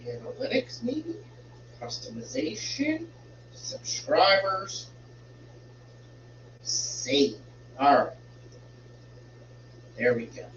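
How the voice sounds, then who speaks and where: A man's voice: sits high, wildly swooping pitch, fairly bright tone. A man hosting a casual live stream from home, rambling while he fixes settings, sounding congested and a bit stuffy. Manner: slow, rambling, fiddles with settings while talking. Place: home room with a fish tank. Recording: roomy, distant, dull home stream with hum.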